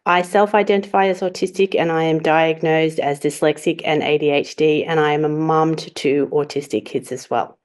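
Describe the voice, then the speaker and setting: A woman, a journalist, introducing herself briskly and plainly. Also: deep voice, fairly even intonation, a little mellow. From Australia. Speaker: journalist, panelist.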